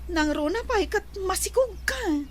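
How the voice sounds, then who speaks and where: High, animated young best friend. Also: female voice, unhurried, slight background noise. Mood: reassuring. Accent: Ilocano. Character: concerned, reassuring young female best friend. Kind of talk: Ilocano radio drama acting.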